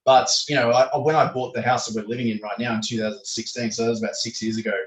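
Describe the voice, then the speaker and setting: A man in his thirties, a podcast guest with a croaky voice who rambles with many fillers and restarts. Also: medium pitch, flat delivery, fast talker. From Australia. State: croaky voice, clearing throat. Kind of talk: casual interview answers. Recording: echoey room, distant from the mic.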